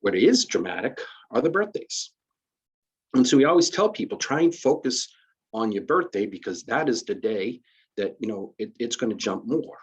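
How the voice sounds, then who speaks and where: A man, talking in a husky, slightly low voice. He explains retirement benefit rules conversationally over a video call, repeating and restarting as he works through each point. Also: very animated, moderate pace. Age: 50s. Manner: conversational, many restarts and fillers, explains step by step. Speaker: retirement system administrator. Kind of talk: casual explanatory presentation. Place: virtual benefits fair call.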